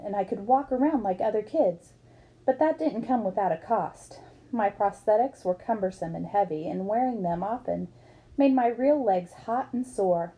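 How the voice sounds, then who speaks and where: A woman's voice: medium pitch, mellow. A woman reading a book aloud expressively, as for children, acting up the dialogue. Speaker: book reader.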